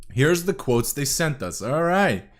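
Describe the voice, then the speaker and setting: A young man from Serbia, rambling through casual reaction commentary as a streamer, swearing often and correcting himself. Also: fairly high pitch.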